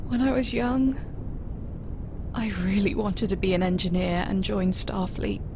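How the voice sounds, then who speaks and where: A woman acts a young starship officer in a sci-fi audio drama, hurt and unsure of herself. She speaks slowly and hesitantly, in a subdued way. A clear rumble sits behind the voice. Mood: hurt, searching. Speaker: voice actor.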